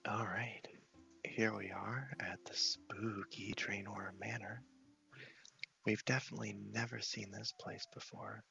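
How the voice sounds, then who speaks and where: A man hosting an ASMR game walkthrough, speaking softly and often dropping into a half-whisper. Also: lowish voice, level tone, measured pace, gravelly, lo-fi sound. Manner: soft-spoken, half-whispered. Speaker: host of a gaming series. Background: music.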